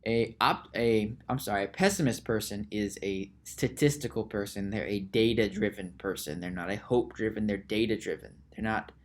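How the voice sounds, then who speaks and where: A man hosting a solo podcast, musing slowly aloud with frequent restarts and repeated words. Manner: reads search results aloud in a low mutter. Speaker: podcast host.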